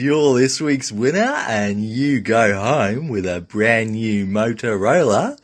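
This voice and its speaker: A man's voice: mid-range voice, fairly bright tone, slightly rough, pitched above the performer's own voice, exaggerated voice. A man voicing a cheery game show host with a streak of dry mockery.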